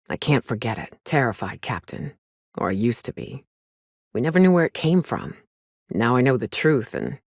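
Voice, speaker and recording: A woman's voice: rumbling low, a little mellow, no room sound, studio quality. Blunt, weary frontier woman. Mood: haunted, somber. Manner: blunt, plainspoken, folksy, sweary. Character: weary, cynical but caring frontier woman, hard-drinking hunter. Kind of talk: video game character dialogue.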